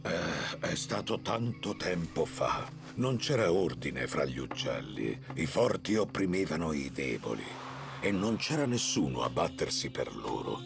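A man playing a wise, kindly old bear who tells tales in a children's cartoon, with a gravelly, expressive voice. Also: extremely low pitch, measured pace, heavily acted. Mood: solemn storytelling. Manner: storytelling, expressive.

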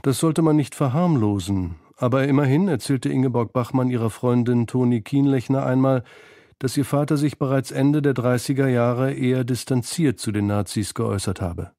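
A man narrating a scripted radio documentary in a measured, analytical manner, close to a studio microphone. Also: mid-range voice, warm tone, no room sound. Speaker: feature narrator.

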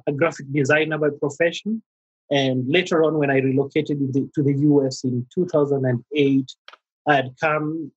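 A middle-aged man with a Kenyan accent, a therapist speaking as a podcast guest, pausing often with fillers and restarts. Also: a little high, husky, lo-fi sound. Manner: halting, many fillers and restarts. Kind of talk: conversational interview.

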